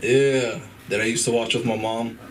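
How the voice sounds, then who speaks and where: A young man in his twenties works through an open-mic stand-up set, halting, full of fillers and casual swearing, and chuckling at his own jokes. He sounds some way from the microphone, and a faint room hum sits under his voice. His pitch sits in a middling range for a man. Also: level tone, recorded from a distance. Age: 20s. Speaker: stand-up comedian. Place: comedy club stage.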